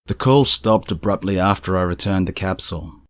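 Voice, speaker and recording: Deep-voiced man narrating strange stories. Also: fairly quick, no echo. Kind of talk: scripted first-person storytelling narration.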